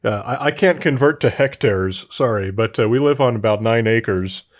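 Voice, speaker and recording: An American man giving a casual interview, with halting, hesitant phrasing. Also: mid-range voice. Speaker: podcaster being interviewed.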